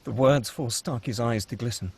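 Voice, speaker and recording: A man's voice: mid-range voice, normal speed, slight background noise. A man narrating the stage directions at a script table read. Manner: steady, matter-of-fact reading.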